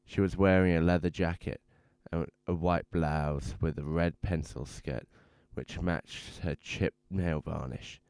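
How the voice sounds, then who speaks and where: A man's voice: deep voice, fairly even intonation, unhurried, hardly any pauses, darkish tone, clean tone, no room sound. A man playing a bitter, guarded drifter in a radio drama, with a brooding, profane way of talking. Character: bitter, guarded male drifter fleeing his burnt-down life. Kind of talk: noir-style radio drama monologue and dialogue. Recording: noisy background of car and road. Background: car driving ambience.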